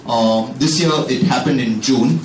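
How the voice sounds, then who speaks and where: A man's voice: medium pitch, measured pace, a little hiss or hum. A young man giving a chatty career talk to students, with joking asides. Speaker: alumni speaker. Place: packed lecture hall. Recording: echoey hall, distant mic.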